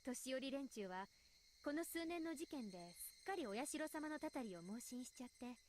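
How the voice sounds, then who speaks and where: A woman voicing a poised, polite teenage village girl in a Japanese visual novel, matter-of-fact and gently teasing. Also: high voice, lively intonation, quick pace, a little hiss or hum. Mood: explanatory.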